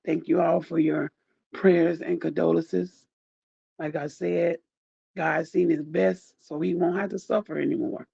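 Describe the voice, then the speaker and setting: A man with a dark, husky, deeply gravelly voice that he calls groggy, heavy with grief. He talks slowly and softly to his viewers, rambling and heartfelt. The recording sounds dull and dry, with a lo-fi edge. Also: level tone, no echo. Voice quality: groggy, deep. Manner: soft-spoken, rambling, heartfelt. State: grieving. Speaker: YouTuber thanking viewers. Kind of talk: casual direct-to-camera chat.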